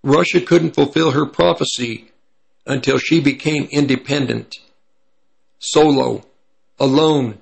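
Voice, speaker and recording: Gravelly, hoarse man giving commentary. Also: mid-range voice, rough recording. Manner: pauses to correct himself mid-sentence. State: slightly raspy voice from hay fever. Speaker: commentator on Bible prophecy. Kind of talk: commentary reading from an article.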